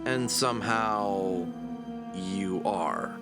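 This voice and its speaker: A man's voice: low-pitched, extremely slow, over loud music, full character voice. A man acting in an audio drama as a plucky, sarcastic adventurer who is wary, casual and easily flustered. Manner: wisecracking, excitable, casual.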